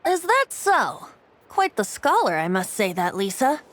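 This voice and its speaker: A woman's voice: fairly high pitch, sing-song, unhurried. A woman voicing a playful, secretive young male bard in a video game cutscene, talking in a lilting, teasing way. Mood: solemn. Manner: lilting, teasing, then soft and solemn. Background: game soundtrack music.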